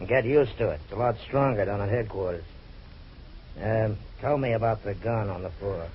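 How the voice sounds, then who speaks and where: Man playing a low-voiced, needling American cop. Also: normal speed, close to an everyday voice. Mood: gruffly mocking. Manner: sarcastic, skeptical, needling. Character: tough, sarcastic, disbelieving male police detective. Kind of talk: old-time radio crime drama acting. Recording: dull, noisy vintage radio recording.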